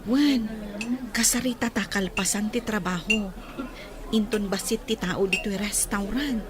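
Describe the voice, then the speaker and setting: A woman's voice: medium pitch, unhurried, crowd noise, lightly acted. A woman with an Ilocano accent playing a chatty young working student at a restaurant in a radio drama. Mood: chatty, teasing. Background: loud music and clinking dishes.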